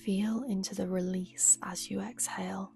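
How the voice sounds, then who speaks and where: A woman guiding a meditation as a yoga nidra teacher, speaking softly and soothingly with a breathy, unhurried delivery. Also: medium pitch, little pitch movement, faint music behind. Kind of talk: guided meditation.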